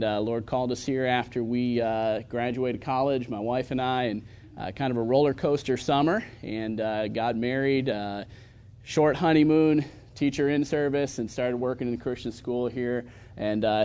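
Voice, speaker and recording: A man in his thirties from Michigan, a pastor preaching and praying earnestly, pausing often with filler sounds. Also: medium pitch, steady tone, a little mellow, clean tone. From Michigan, USA. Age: 30s. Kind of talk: church sermon and prayer.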